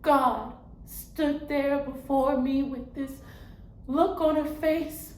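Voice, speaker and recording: A woman acting a dramatic stage monologue as a weary, confessional angel who was once a poor woman, speaking slowly and with deep feeling. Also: steady tone, darkish tone. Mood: anguished awe. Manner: slow, heartfelt, deliberate delivery. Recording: roomy echo, faint background noise.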